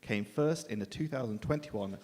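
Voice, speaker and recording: A man's voice: mid-range voice, normal speed, a little mellow. A man hosting a live literary event, making informal, joking, self-deprecating announcements between acts. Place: indoor live event venue in a reverberant hall. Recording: echoey hall with clear background noise.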